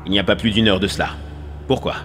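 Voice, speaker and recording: Man acting a grave low-voiced spaceship crew leader. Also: steady tone, fast, over music, lightly acted. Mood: ominous, serious. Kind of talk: dramatic French dub of animated short film.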